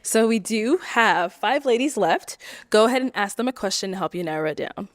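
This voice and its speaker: A woman hosting a dating game show, giving brisk directions and repeating set questions to contestants. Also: mid-range voice, lively intonation, no room sound, clean studio sound.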